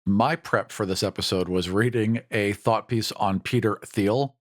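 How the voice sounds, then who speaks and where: A man's voice: fairly low pitch, steady tone, unhurried. A man talking casually and animatedly in a podcast discussion, acting out imagined quotes as he goes.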